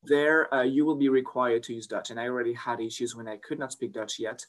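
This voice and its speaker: Young belgian guy w/ french accent, talks w lots of uhs and restarts, middle pitch. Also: steady tone, fairly quick. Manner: hesitant, many fillers and restarts. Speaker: guest, polyglot and language teacher. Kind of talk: casual interview conversation.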